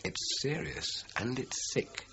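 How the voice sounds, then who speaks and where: An actor playing an impatient British chief inspector in a radio crime drama, curt and sardonic. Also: male voice, mid-range voice, very animated, takes it slowly, sharp tone, exaggerated voice. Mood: stern, probing. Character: gruff, impatient male police chief inspector. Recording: dull, hissy radio drama recording.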